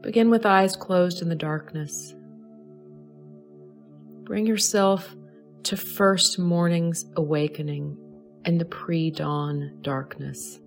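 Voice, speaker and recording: A woman leading a guided meditation in a soothing, measured way, pausing between short phrases. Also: lowish voice, fairly even intonation, faint music behind. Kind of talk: slow guided meditation narration.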